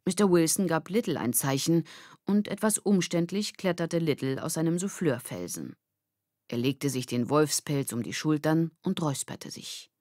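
A woman's voice: low-pitched, expressive, moderate pace, darkish tone, dry recording, studio quality. A woman reading a children's story as a scripted audiobook narration.

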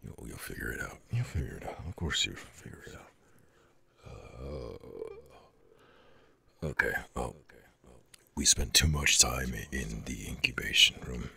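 A massive male dragon, earnest, proud and easily flustered, for an ASMR audio roleplay. The voice is extremely low and heavily gravelled, with a hard, sharp edge. He feels his way through sentences slowly, stammering and hesitating. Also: lively intonation, no echo, full character voice. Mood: shy, awkward. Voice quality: very deep, gravelly growl with breathy rasp.

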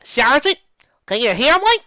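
A man voicing an excitable, bold young woman in a fan storybook reading. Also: sits high, moderate pace, no room sound, dull audio, pitched above the performer's own voice, exaggerated voice. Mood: excited.

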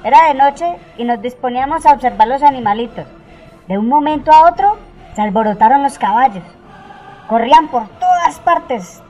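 A woman's voice: high-pitched, mellow, low-quality recording. A woman playing a boastful caller who tells a spooky story in a radio drama.

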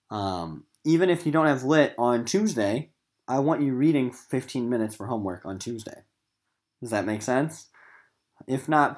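A man's voice: mid-range voice, darkish tone. Casual male teacher explaining things to students. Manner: casual, conversational, talking directly to students. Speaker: middle school literature teacher. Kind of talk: informal recorded lesson for students. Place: voice-over on a screen recording of slides.

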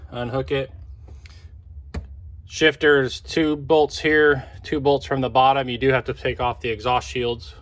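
A man's voice: medium pitch, fairly bright tone. A middle-aged man with an American accent giving a casual, plain-spoken, step-by-step DIY car repair tutorial. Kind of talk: casual hands-on tutorial narration. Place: outdoors on a patio and driveway, and at a car. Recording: clear background noise, outdoor ambience.

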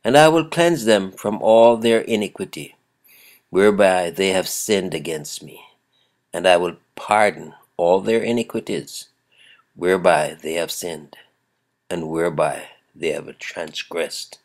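A man with a croaky voice giving a daily devotional, chuckling often and reading scripture aloud with emphasis. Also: low-pitched, unhurried. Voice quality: gravelly. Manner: chuckles often between phrases. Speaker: devotional host.

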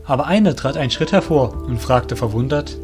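A man narrates an audio drama from a script. His voice is medium in pitch, with soft music underneath. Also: animated, moderate pace.

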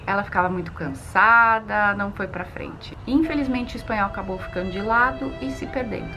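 A woman's voice: mid-range voice, a little fast, over music. A woman in her forties with a southern Brazilian accent, talking expressively to the camera as a channel host, recorded outdoors in a garden. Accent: southern Brazilian Portuguese. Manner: chatty, expressive. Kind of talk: casual vlog narration.

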